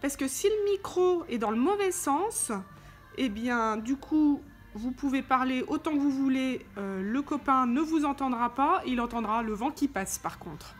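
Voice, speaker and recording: A woman's voice: sits high, lively intonation, a little slow. A woman giving an informal, hands-on product tutorial, explaining step by step as she handles the parts. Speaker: tutorial presenter for a motorcycle gear channel. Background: background music.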